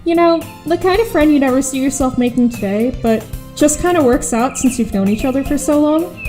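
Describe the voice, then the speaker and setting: A woman playing a reluctant, exasperated high school girl in an audio drama, with dry, grudging first-person narration and dialogue. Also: a little fast, warm tone, soft background music, lightly acted. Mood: wry, resigned.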